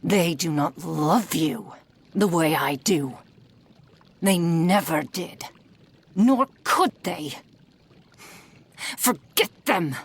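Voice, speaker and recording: Woman as possessive fox-spirit witch, ASMR roleplay, low pitch, breathy and whispery, cruelly affectionate, condescending menace. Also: lively intonation, exaggerated voice. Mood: angry, scolding. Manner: slow, breathy, condescending, often dropping into whispers. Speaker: roleplay audio performer.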